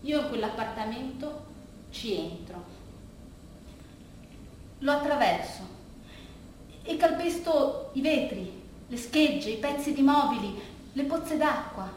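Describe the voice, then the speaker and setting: A woman's voice: sits high, lively intonation. Female monologue actress, conversational bittersweet storyteller, recorded from a distance in a strongly reverberant room. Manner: halting, conversational storytelling with dropped, hushed asides. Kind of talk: bittersweet theatrical monologue in a colloquial first person. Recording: very roomy, distant stage recording, faint noise.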